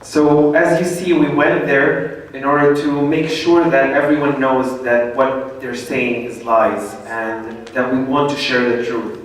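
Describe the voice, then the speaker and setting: Man, public speaker, halting, lots of fillers, distant mic, roomy echo. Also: medium pitch, fairly even intonation. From Lebanon. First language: Arabic. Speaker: event speaker. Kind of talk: public talk. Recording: echoey room, distant mic.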